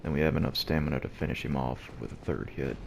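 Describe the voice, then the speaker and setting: A man quietly giving gameplay commentary at home early in the morning, keeping his deep, low voice hushed so he won't wake anyone. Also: quick pace, vocal fry. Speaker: let's play host. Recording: noisy background hiss.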